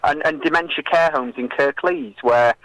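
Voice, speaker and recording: Halting British man in a dry radio interview. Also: a little high, fairly bright tone, no echo, poor audio. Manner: halting, hesitant delivery with many filled pauses. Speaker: political campaigner and interview guest. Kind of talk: radio interview, explaining and arguing.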